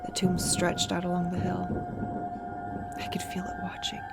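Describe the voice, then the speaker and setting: A woman playing a weary, grieving old soldier who narrates a dark fantasy audio drama in a solemn, dramatic manner. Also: lowish voice, huge pitch swings, normal speed, gravelly, whispered, loud music behind the voice, full character voice. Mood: tense, wary.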